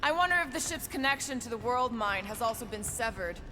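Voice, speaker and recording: A woman's voice: a little high, animated, brisk, pushed and bright, slight background noise. A woman playing a tough, guarded alien fighter in a video game, speaking in a terse, dry manner.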